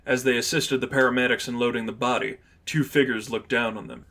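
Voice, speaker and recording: Man narrating a story, mid-pitched voice. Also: steady tone, a little fast, sharp tone. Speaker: narrator, reader.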